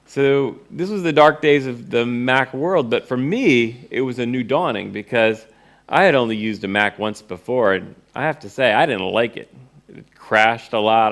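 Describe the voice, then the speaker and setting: Gravelly-voiced man telling a story. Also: medium pitch, fairly bright tone. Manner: wry, acts out remembered dialogue. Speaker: presenter, course teacher and author. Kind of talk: informal first-person storytelling to an audience.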